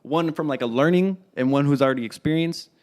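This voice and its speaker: A man in his early twenties hosting a podcast, chatting in an easygoing way, asking quick questions and laughing often. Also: fairly high pitch, darkish tone. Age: 20s. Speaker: podcast host. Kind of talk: casual chat.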